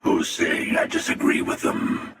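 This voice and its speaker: A man voicing a cynical, world-weary hunter in a video game, gravelly, sardonic and theatrical, with amused menace. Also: a little slow, a bit bright, natural voice. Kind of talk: scripted video game character dialogue. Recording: cavernous echoing reverb, distant.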